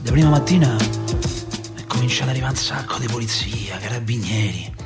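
A man's voice: mid-range voice, moderate pace, over loud music, natural voice. A male actor playing a grieving working-class Roman father, telling his story in an emotive, colloquial radio monologue with a Roman accent. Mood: uneasy recollection.

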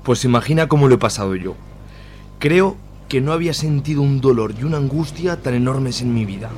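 A man's voice: mid-range voice, lively intonation, fairly quick, a little mellow, slight background noise, natural voice. A man playing a grandfather who is shaken up, hesitates and swears. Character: older grandfather, shaken and crude.

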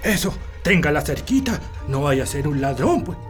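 A man's voice: fairly high pitch, big pitch swings, moderate pace. An actor playing a nervous rural Chilean man who stammers with fright in a radio drama. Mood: wary. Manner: stammering when scared. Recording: music behind the voice.

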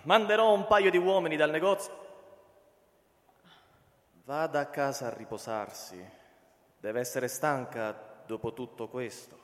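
An actor playing a brisk, unsentimental male boss in a theatrical radio drama. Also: a little high, a bit bright. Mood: brisk, dismissive. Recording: reverberant hall sound.

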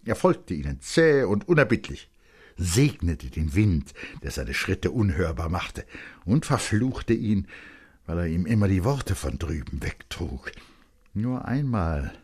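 A man reads literary fiction as a radio narrator. His voice is very low and husky, and he shapes his phrases deliberately, with expressive suspense.

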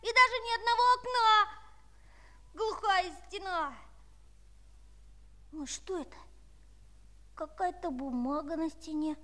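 A woman's voice: extremely high pitch, takes it slowly, long pauses, pushed and bright, heavily acted. A woman playing a cheeky, hot-headed little boy in a children's audio play, pert, boastful and whiny. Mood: dejected, puzzled.

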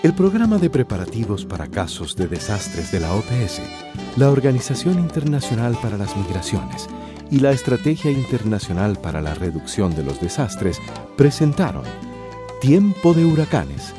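Animated male radio announcer over guitar music. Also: on the low side, normal speed. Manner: formal. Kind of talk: scripted radio credits narration.

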